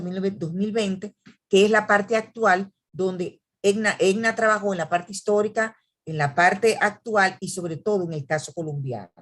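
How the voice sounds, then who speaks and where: A woman author presenting a book at an academic event, speaking in a hesitant way with many fillers and restarts. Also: mid-range voice.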